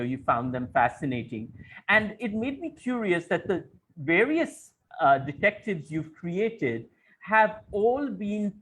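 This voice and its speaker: A man's voice: fairly high pitch, a bit bright, low-quality recording. A man interviewing in a literary conversation, articulate and thoughtful, building long explanatory questions. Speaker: interviewer and moderator.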